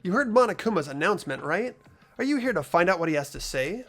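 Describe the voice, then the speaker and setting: Earnest, worried teenage investigator for a game, played by a man: highish pitch, lively intonation. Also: fast, soft background music, heavily acted. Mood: suspicious, puzzled. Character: earnest, anxious teenage boy narrating his investigation. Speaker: host of playthrough. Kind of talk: amateur game voice acting.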